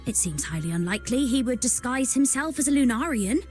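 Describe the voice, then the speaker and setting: A woman's voice: on the high side, expressive, a little fast, a little mellow, soft background music, close to an everyday voice. A woman playing a curt young soldier on guard duty in a video game, brusque and clipped like a military report. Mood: dutiful. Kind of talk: scripted video game acting.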